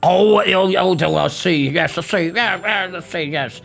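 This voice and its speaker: A man voicing a scatty, childish, excitable male storybook eccentric who stammers and repeats words frantically. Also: high voice, measured pace, creaky, soft background music, pitched above the performer's own voice, exaggerated voice. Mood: excited, welcoming.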